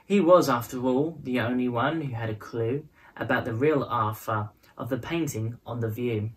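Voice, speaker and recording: A woman reading a children's picture book aloud as a storyteller, with expressive, dramatized phrasing. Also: a bass voice, normal speed, rough recording. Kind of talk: scripted storybook narration in prose and verse.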